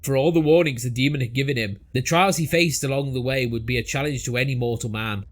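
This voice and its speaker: A man's voice: medium pitch, fast. A man reading a scripted storybook tale as narrator, in a theatrical, mock-epic manner.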